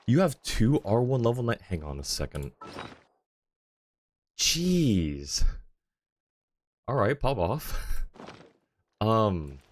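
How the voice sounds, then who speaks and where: A man's voice: lowish voice, sing-song, slow pace, pauses often, a little hiss or hum. A man chatting casually as a game streamer, thinking aloud in an informal way. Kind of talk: casual livestream chat and game commentary.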